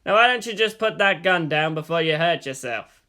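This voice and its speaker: A man's voice: fairly high pitch, lively intonation, normal speed, pushed and bright, clear, resonant tone. A man voicing a weary older male agent in a story reading, conversational with restrained menace. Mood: mock friendly.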